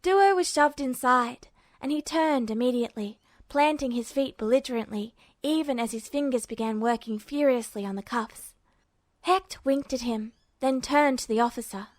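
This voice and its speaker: A woman's voice: high-pitched, normal speed, no echo. A woman reading fan fiction aloud as a scripted story narration. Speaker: narrator, podfic reader.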